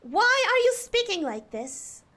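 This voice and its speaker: High-voiced woman in a put-on posh accent. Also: big pitch swings, pushed and bright. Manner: theatrical, drawn-out delivery. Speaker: streamer. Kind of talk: casual live-stream chat.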